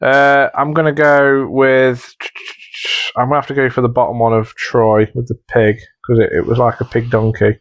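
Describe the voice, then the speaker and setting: A man's voice: mid-range voice, flat delivery, moderate pace, highs cut off. Casual, hesitant British-podcast-style man. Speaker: podcast co-host judging contestants' entries. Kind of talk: casual comedy panel chat.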